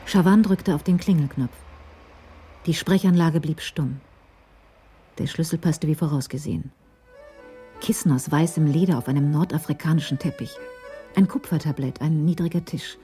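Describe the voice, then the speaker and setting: A woman with a deep, dark-toned voice narrates a radio crime drama. Her delivery is measured and literary, with faint music behind.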